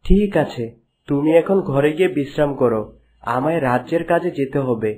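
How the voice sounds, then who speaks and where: A man's voice: fairly high pitch, normal speed, a little mellow, husky. A man voicing a stern, suspicious young prince with a firm, questioning manner in a cartoon. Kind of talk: cartoon dialogue.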